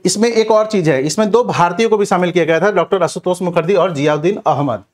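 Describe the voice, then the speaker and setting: Exam coach: male, slightly high-pitched, instructive lecture delivery, posing questions and answering them, with frequent reminders. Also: fast. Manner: instructive, repeats check phrases like a classroom teacher.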